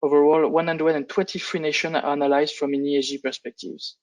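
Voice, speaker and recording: Non-native english guy doing a finance presentation on a call, bit high pitched, really monotone, halting and restarts a lot. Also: rough recording. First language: not English. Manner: halting, hesitant delivery with repeated false starts. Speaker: fund analyst presenting on a panel. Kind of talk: semi-scripted business presentation on a conference call.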